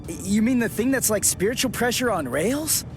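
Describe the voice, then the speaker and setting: An actor playing an earnest, hot-headed teenage sword fighter in an anime game, speaking with intense emphasis. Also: male voice, sits high, expressive, moderate pace, music in the background. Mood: confused. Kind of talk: English anime game voice acting.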